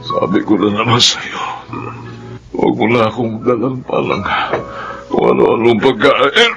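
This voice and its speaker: Gravelly, slow, ailing Filipino farmer. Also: male voice, medium pitch. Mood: despairing, bitter. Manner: slow, laboured, defeated. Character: sick, despairing older farmer longing to die. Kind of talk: Filipino radio drama acting. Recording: music under the voice.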